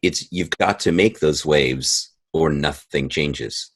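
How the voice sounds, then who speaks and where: A middle-aged or older man with a very low, husky voice. He talks casually as an interview guest, sounding earnest and keen. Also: slow. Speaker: interview guest, audio drama composer.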